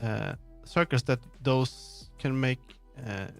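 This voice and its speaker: A man's voice: mid-range voice, slow, creaky, faint music behind. A man streamer chatting casually, rambling and muttering to himself as he jumps between thoughts. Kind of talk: casual livestream chat.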